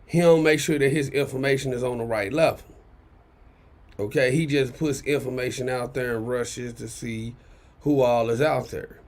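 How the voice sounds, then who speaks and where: A man with a raspy baritone ranting in casual commentary, blunt and profane, driving points home with repeated "okay?". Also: steady tone, moderate pace. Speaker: commentary channel host.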